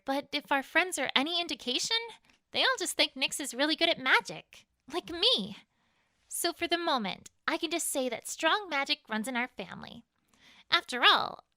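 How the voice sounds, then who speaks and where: Woman doing an earnest young mare, bright voice, super close and dry, bit anxious, audiobook cartoon pony. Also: high voice, no echo, heavily acted. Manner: earnest, expressive. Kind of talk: dramatized audiobook character acting.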